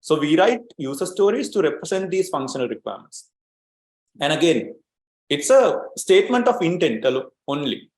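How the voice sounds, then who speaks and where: A man's voice: on the high side, very animated, moderate pace, a little mellow, echoey room. A man from Sri Lanka presenting an online training webinar as an agile coach, explaining steadily with many fillers and repeated words.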